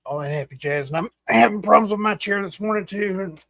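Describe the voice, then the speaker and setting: A man in his forties hosting a casual livestream chat, rambling and halting with fillers and restarts. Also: high-pitched, gravelly, pushed and bright. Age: 40s. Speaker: host.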